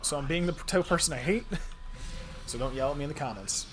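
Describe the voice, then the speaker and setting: A man's voice: a little high, a little fast, background noise. A young man with a cold, sniffling as he chats casually about a game build while playing. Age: young adult. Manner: rambling, self-deprecating, explains build tips. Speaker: gaming content creator. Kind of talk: casual gameplay commentary.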